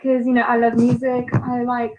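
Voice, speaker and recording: Young woman, Polish first language, slightly high voice, casual interview, self-deprecating, laughs often. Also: completely flat delivery, normal speed, reverberant, noisy background.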